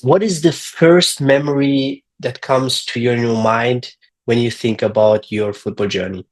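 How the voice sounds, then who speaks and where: Male podcast host, mid-pitched voice, curious, casual. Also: steady tone, measured pace. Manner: curious, casual questioning with fillers. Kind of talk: interview questions.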